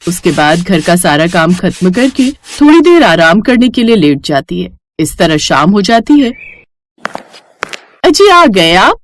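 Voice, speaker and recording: A woman's voice: lowish voice, very animated, normal speed, few pauses. A woman reading scripted narration for a moral cartoon story.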